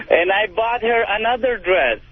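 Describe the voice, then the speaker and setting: A man calling a radio show, telling a story casually in a rambling, hesitant way, eager to explain himself. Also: sits high. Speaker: phone-in caller. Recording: tinny, noisy phone line on radio.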